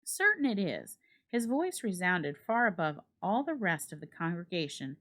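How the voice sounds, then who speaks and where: Lady reading an old story out loud, kinda low voice, really animated up and down. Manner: expressive read-aloud delivery. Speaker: library staff reader. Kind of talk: dramatic storybook narration.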